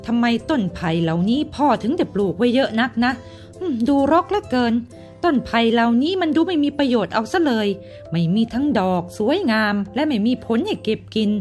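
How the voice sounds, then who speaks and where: A pampered young master of a fruit garden, as a woman storyteller puts him on, bossy and quick to exclaim. His voice rides high and swoops between words in a storybook telling. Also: sing-song, darkish tone, faint music behind. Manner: haughty, exclaiming, high-pitched. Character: young man, spoiled garden owner's son.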